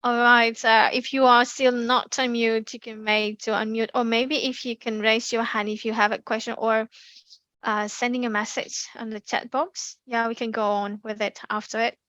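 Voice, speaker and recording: A young woman with an Indonesian accent, giving a lively online class talk with many ums and restarts. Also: a little high, moderate pace, dry recording. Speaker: community speaking presenter. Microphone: computer mic on a video call.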